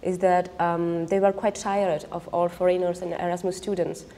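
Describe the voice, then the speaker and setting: A woman's voice: lowish voice, flat delivery, moderate pace, dark tone. Czech-accented woman in a reverberant hall. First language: Vietnamese. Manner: thoughtful, often repeats words while searching. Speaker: panel guest. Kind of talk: panel discussion.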